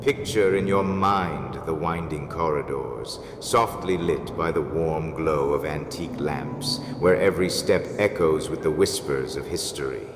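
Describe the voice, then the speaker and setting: Man playing a deep-voiced, courtly gothic mansion host. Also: unhurried, few pauses. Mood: mysterious, inviting. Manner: formal, ornate, dramatic. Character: courtly, mysterious male host of a haunted mansion. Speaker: host and storyteller. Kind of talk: theatrical gothic storytelling, scripted. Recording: echoey hall reverb with background noise.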